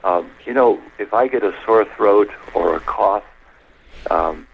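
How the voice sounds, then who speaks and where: A man guest on a radio talk show, explaining health matters in a measured, anecdotal way, step by step. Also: on the low side, creaky, breathy edge, phone-quality sound.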